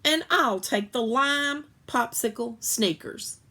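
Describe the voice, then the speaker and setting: Casting: blunt little girl for a storybook , played by a woman, impulsive, curious, raised pitch. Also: takes it slowly, pushed and bright, full character voice. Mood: frank.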